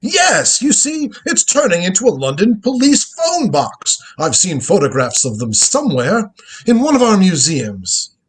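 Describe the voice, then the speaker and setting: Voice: medium pitch, wildly swooping pitch, measured pace, rough recording. A performer playing an inquisitive old grandfather scientist who travels through time, musing and exclaiming theatrically in a script reading of a radio drama. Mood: explaining.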